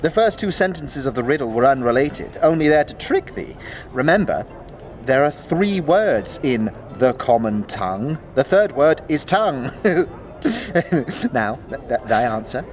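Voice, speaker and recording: Giddy skeleton, wildly swooping pitch. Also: male voice, sing-song, unhurried. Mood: grudging. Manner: cackling, stammering, archaic thee-and-thou speech. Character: mocking, riddle-loving male skeleton, giddy and excitable. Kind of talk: fantasy audio drama acting.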